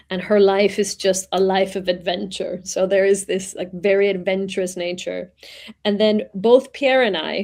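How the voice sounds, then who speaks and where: A woman in her forties from Venezuela, talking as an interview guest in a reflective way, pausing and searching for words as she explains. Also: lowish voice, gravelly, a little mellow. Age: 40s. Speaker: guest, executive coach. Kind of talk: conversational interview.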